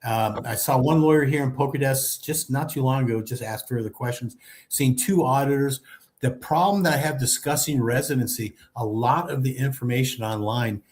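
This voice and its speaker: A man in his seventies from Texas, a guest on a casual video chat, talking in a relaxed way and repeating himself for emphasis. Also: medium pitch, moderate pace. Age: 70s.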